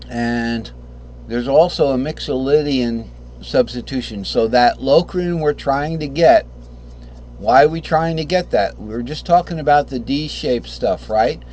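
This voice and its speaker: A man teaching a casual guitar lesson, hoarse because he is losing his voice, who rambles, corrects himself and often clears his throat. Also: mid-range voice, slow pace, slight background noise. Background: guitar playing.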